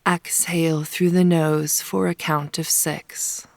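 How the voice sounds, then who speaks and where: A woman leading a guided yoga nidra meditation in a soft, soothing, unhurried manner, with phrase ends sometimes hushed. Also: low voice, flat delivery, creaky, no echo. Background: soft pink noise.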